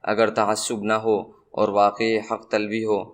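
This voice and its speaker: A man narrating a religious moral story in a measured, formal way, as a scripted storyteller. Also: lowish voice, monotone.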